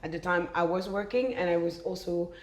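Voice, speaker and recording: A frank young woman from Belgium, speaks English in a slightly low voice. She tells her story in an animated way, full of "like"s and restarts. The room around her rings with a noticeable echo. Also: fairly quick. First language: French. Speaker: podcast guest. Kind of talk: casual conversation. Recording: roomy echo.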